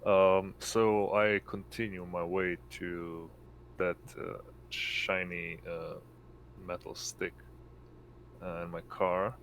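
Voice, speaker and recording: Low, slow-talking man with background noise. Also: little pitch movement, a bit bright. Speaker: tabletop roleplaying player. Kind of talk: casual game chat.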